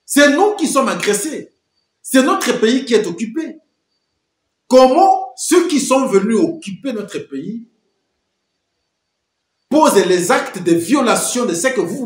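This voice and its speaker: A man's voice: sits high, reverberant. A man giving emphatic political commentary, full of rhetorical questions and repeated phrases.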